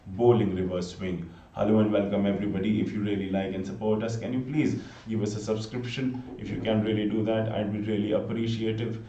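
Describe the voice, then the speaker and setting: An Indian-accented man with a low, mellow voice calls a cricket match on his own, chatty and prone to sudden excited outbursts. He sits back from the mic in an echoey room, and the sound is dull and lo-fi. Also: a little fast, few pauses, far from the mic. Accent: Indian English. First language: Hindi. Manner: chatty, pleading for subscriptions, sudden excited exclamations. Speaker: live cricket commentator. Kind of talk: solo live cricket commentary. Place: home room, bundled up against the cold.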